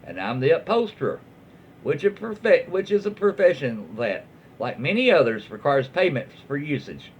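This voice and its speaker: Man reading as a fed-up, firm tradesperson with a Southern US accent, animated delivery, dull lo-fi recording. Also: a little high, moderate pace. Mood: firm, dismissive. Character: firm, fed-up tradesperson refusing free work. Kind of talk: acted story reading. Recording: dull lo-fi audio with faint noise.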